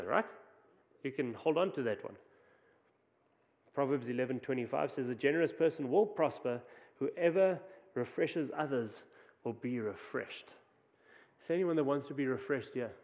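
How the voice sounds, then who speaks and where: A man's voice: mid-range voice, darkish tone. A man with a South African accent, preaching a conversational sermon with frequent restarts. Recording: long hall reverb.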